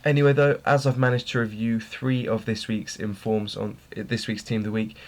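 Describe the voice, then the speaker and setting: A man's voice: lowish voice, little pitch movement, moderate pace, darkish tone. A young man reviewing a video game player card in an informal way, his voice slightly worn as he is losing it. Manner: informal, repeats words for emphasis. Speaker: gaming video host.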